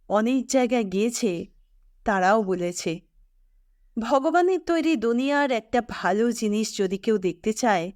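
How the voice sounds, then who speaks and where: Excitable young male engineer, female reader, audio story, raised pitch, wide-eyed exclamations, dry close sound. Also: no echo. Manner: exclaiming, wonderstruck. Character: excitable, easily amazed young male engineer, war refugee.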